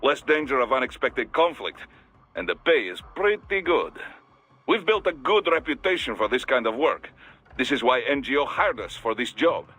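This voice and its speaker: A male actor playing a self-assured private military contractor in a video game, explaining things matter-of-factly in a husky, forceful voice. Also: mid-range voice, big pitch swings, normal speed, soft background music, full character voice.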